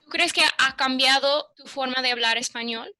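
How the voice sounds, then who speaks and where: Bright, high-voiced woman interviewer. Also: moderate pace. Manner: curious, brief backchannel replies. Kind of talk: casual interview questions.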